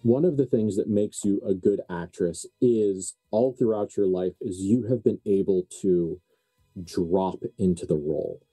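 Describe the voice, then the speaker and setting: A man with a very dark, soft-edged, lowish voice narrates a tabletop horror game. He improvises as game master in deliberate, halting phrases, with faint music underneath. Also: husky.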